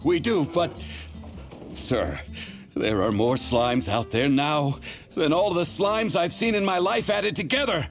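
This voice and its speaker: An actor playing a panicky, deferential junior knight in a video game, urgent and anxious. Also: male voice, fairly high pitch, animated, a little slow, soft background music. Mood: panicked.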